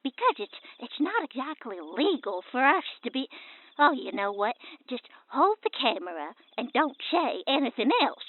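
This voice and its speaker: An excitable wife hunting UFOs, bossy and insistent. Her high voice swings widely as she hushes and presents to camera. It all comes through thin, phone-like camcorder audio, as comedy radio drama. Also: moderate pace, dry recording, heavily acted. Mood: exasperated, hushing. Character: bossy, excitable amateur UFO hunter wife filming a video.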